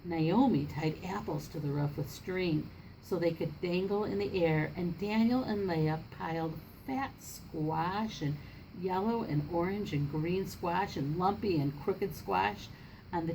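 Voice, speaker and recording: Female storybook reader, slightly low voice, expressive read-aloud for children, faint ambient noise. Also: measured pace, poor audio.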